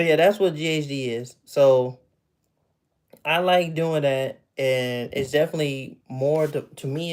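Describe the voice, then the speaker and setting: A man's voice: mid-range voice, darkish tone. A man in his thirties chatting casually with viewers on a livestream from home, loose and chatty, often laughing and trailing off mid-thought. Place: at home with memorabilia behind him.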